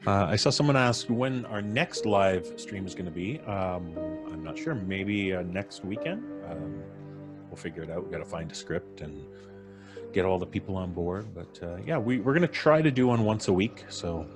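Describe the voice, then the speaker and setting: A man's voice: low voice, expressive, normal speed, music in the background. A man hosting a live stream in casual, informal and jokey chat. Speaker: host of a horror audio drama podcast live stream.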